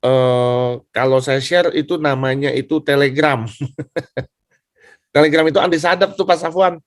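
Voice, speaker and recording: A man's voice: fairly high pitch, a little slow, no room sound, studio quality. A man speaking as a student in a class discussion, explaining informally and deferentially, circling back over his points. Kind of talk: informal spoken question and explanation.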